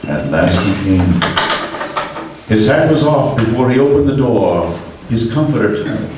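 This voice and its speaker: A man's voice: lowish voice, animated, unhurried, noisy background. A man narrating a classic story and addressing the audience as producer of a radio broadcast. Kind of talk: scripted narration. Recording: echoey room, far from the mic.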